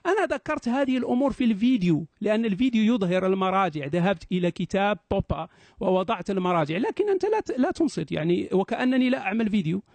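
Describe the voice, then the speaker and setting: A man with a high-pitched voice argues in a live debate. He talks quickly, with a sarcastic, protesting edge, and the sound is close and dry. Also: lively intonation, darkish tone, dry recording. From Morocco.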